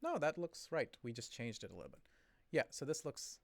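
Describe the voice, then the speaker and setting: A man thinking aloud as he codes on a live stream, rambling and correcting himself, his voice a bit hoarse from talking for a long time. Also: mid-range voice, very animated, a little fast, no echo. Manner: rambling, self-correcting, chatty with viewers.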